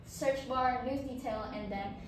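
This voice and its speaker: A woman's voice: on the high side, measured pace, noisy background. A teenage girl from Indonesia presenting her portfolio as a student, in a chatty, upbeat way with fillers and restarts. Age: teens. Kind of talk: casual self-presentation. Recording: very echoey room, from far off, crowd behind.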